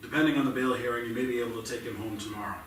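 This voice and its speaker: A man's voice: medium pitch, fairly even intonation, rapid-fire, a bit bright, natural voice. A man in a staged play reading, playing a police detective who speaks in a firm, blunt, procedural way. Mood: matter-of-fact. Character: firm, matter-of-fact male police detective. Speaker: actor. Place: theatre stage. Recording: echoey theatre, distant mic, noisy background.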